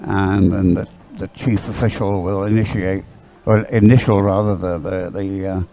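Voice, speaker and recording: A man giving conversational live sports commentary in a hesitant, halting way, often repeating his words. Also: low voice, fairly even intonation, vocal fry, warm tone, a little hiss or hum. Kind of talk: live dragon boat race commentary, conversational. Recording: live sports coverage, crowd in background.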